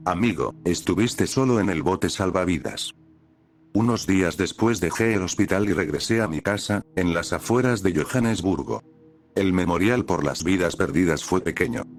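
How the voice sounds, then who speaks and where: A man reading a scripted horror story as the channel's narrator, with a steady, measured delivery. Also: deep voice.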